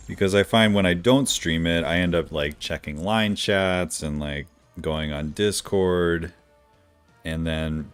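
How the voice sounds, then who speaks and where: A man streaming a game live, chatting casually with viewers, with a smooth radio-like voice and a slightly stuffy nose from allergies. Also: low-pitched. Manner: relaxed, chatty, greets viewers by name. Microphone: decent studio microphone.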